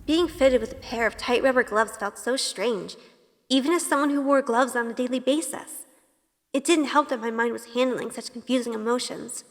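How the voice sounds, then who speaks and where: A woman's voice: fairly high pitch, normal speed, long reverb tail, natural voice. A woman playing a timid, sheltered young woman in an audio drama, hesitant and anxious. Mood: uneasy, reflective. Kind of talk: audio drama acting and first-person narration. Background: music.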